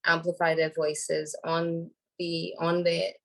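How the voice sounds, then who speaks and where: Hoarse woman with a cold. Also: lowish voice, little pitch movement, slow pace. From Trinidad and Tobago. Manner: thoughtful, many ums and restarts. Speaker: panel speaker, environmental lawyer. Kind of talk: casual panel talk. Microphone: video call.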